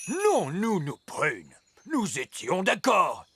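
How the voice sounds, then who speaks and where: Husky, fussy old elf teacher. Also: male voice, sits high, huge pitch swings, bright, forceful tone, no echo, heavily acted. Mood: disapproving. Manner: pedantic, deliberate. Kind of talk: children's cartoon voice acting.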